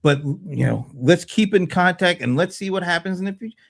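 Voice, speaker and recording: A man in his fifties in casual panel chat, thinking aloud in a halting way and repeating words. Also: high voice, animated, creaky. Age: 50s. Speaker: panelist on a small business show.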